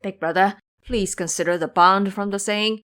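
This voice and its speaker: A woman's voice: mid-range voice, steady tone, normal speed, fairly bright tone, natural voice. A woman voicing a cowardly, wheedling pig-monster disciple in an audio drama, whining and grovelling as he pleads. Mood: coaxing.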